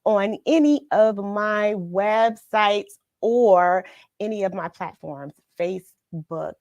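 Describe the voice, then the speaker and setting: A middle-aged American woman hosting a children's read-along livestream, chatting in an encouraging, unhurried way straight to her viewers. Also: mid-range voice, darkish tone, no room sound, highs cut off. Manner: encouraging, unhurried, addresses listeners directly. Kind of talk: chatty livestream to viewers, greeting them by name.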